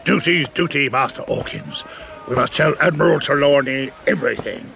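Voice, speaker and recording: Audio drama role: crafty, jovial old sea cook, gravelly and bright, salty dialect. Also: male voice, medium pitch, normal speed. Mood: laughing, hearty. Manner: hearty, salty seafarer's dialect. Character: jovial, crafty one-legged old sailor and innkeeper. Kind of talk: dramatised audio adventure acting.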